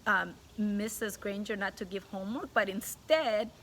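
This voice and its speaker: A woman teacher reading a storybook aloud to children, pausing to ask questions and explain things simply. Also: medium pitch, a bit bright, slight background noise, rough recording.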